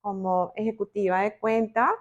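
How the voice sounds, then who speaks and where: A middle-aged woman with a Colombian accent, talking reflectively and deliberately, with pauses, as a podcast guest. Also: mid-range voice, steady tone, dull audio. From Bogotá, Colombia. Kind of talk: reflective personal interview talk.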